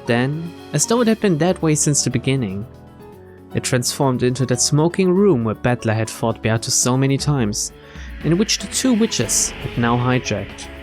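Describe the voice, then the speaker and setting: A man's voice: medium pitch, big pitch swings, normal speed, music in the background. A man hosting a let's-play, reading game text aloud and commenting casually. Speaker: gameplay host.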